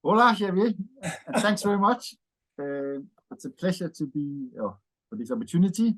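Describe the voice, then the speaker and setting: A man's voice: sits high, lively intonation, normal speed, low-quality recording. A man in his fifties from Denmark with a Danish accent, a guest in a casual interview, rambling with frequent fillers and "yeah" tags. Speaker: interview guest, wine bar owner.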